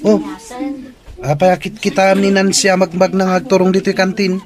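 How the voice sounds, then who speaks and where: A man's voice: high-pitched, monotone, normal speed, over music, natural voice. A young man with an Ilocano Filipino accent acting a cocky, mocking student and rival suitor in a radio comedy-drama. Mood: teasing.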